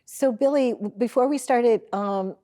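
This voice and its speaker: A woman's voice: a little high, measured pace, dark tone. A woman from St. Louis hosting a talk show, asking her guests questions in a gushing, effusive way. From St. Louis, Missouri. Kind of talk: casual interview.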